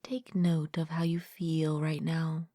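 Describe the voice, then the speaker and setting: Slow, soft, breathy woman guiding meditation. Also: low voice, no room sound, studio quality. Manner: gentle, calm, unhurried with long pauses. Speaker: meditation guide, mindfulness coach. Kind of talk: guided meditation, soothing scripted narration.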